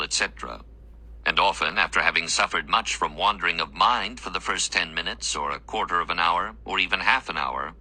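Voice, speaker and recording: A man with a low voice reads a devotional text aloud. His tone is very bright and forceful, with lively movement in pitch. The delivery is formal, earnest and measured, as a narrator of written prose. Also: rough recording.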